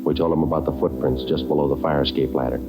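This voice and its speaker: An actor playing a stoic police detective in a 1940s radio crime drama, speaking in terse, clipped, deadpan lines. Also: male voice, a bass voice, fast. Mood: deadpan, grim. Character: stoic male police detective narrating a manhunt. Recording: old radio recording with loud music behind.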